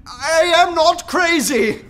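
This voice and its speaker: An actor playing a frantic, outraged anarchist who feels betrayed, overwrought and emphatic in a radio play. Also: male voice, extremely high pitch, expressive, slow, pushed and bright, exaggerated voice. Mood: frantic shouting. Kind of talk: theatrical radio drama acting.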